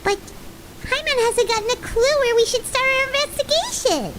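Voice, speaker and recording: A woman voicing a small, excitable, chatty fairy companion in a video game, with a squeaky childlike voice and an expressive manner. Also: normal speed, background noise. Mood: worried, earnest. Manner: expressive, refers to self in third person. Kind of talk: animated video game voice acting. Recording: game audio under loud music. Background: loud game music.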